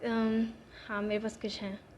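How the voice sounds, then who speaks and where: A teenage girl from Bodh Gaya, a young singer, answering interview questions, switching between Hindi and English and speaking slowly and carefully in English. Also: medium pitch, completely flat delivery, a little mellow, a little hiss or hum. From Bodh Gaya, India.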